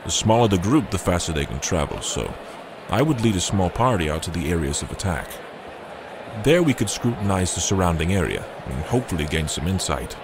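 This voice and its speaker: An actor playing an earnest young warrior captain in a fantasy audio drama, speaking formally and in measured words. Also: male voice, low voice, sing-song, background noise. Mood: thoughtful, resolute.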